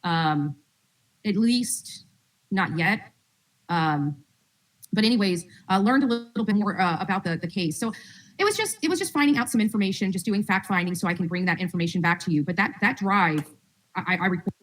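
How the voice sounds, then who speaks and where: A woman's voice: medium pitch, lively intonation, fairly quick. A woman hosting a true-crime livestream, giving casual, rambling updates to her audience through a distant mic.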